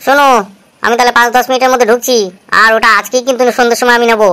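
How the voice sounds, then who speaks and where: A woman's voice: fairly high pitch, little pitch movement, very fast, sharp tone, clear, resonant tone. A woman voicing an aggrieved, scheming village father in a cartoon, talking in a chatty, wheedling way. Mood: chatty, instructing. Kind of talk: cartoon voice acting.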